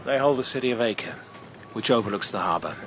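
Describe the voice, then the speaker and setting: A sardonic old-campaigner marshal played by a man, blunt and loyal, with a bright, forceful edge to the voice. It is historical radio drama, with clear ambient noise behind him. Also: mid-range voice, expressive, fairly quick, lightly acted. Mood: blunt, cautious. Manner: dry, sardonic, plain-spoken. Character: blunt, loyal, world-weary knight marshal.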